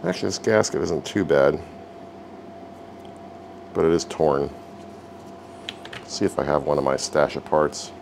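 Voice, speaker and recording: Host, male, very low gravelly voice, easygoing shop-talk narration in a home garage with faint background hum. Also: a little slow, long pauses. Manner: relaxed, wry, talks while working. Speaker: repair video host. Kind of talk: casual hands-on repair narration.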